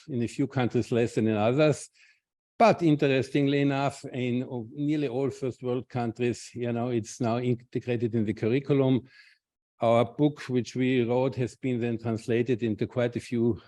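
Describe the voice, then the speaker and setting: Halting Austrian man giving a talk. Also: mid-range voice, steady tone, mellow. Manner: halting, many restarts and repeated words. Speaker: invited speaker, clinician researcher. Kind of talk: conference talk with slides.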